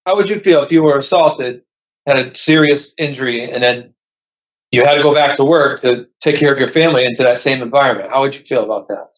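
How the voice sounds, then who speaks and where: Patient male parole board questioner. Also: medium pitch. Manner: measured, patient, asks repeated questions. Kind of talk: formal questioning. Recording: dull lo-fi call audio.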